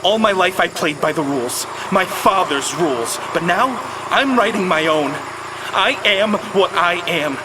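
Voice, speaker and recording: A man's voice: high-pitched, animated, a little slow, background noise. A man playing an earnest, defiant young rich heir in a cartoon. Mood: resolute defiance.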